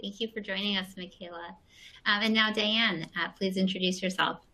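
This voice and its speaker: A woman's voice: medium pitch, fairly even intonation, normal speed, pushed and bright, rough recording. A woman moderating a panel discussion, easygoing and full of fillers.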